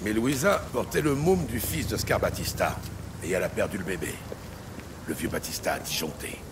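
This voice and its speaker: An actor playing a hard, cynical cop who swears and talks in street slang in a French audio drama. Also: male voice, deep voice, moderate pace, background noise. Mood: grim. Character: hard, defiant cop, cynical and menacing when crossed. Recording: loud music behind the voice.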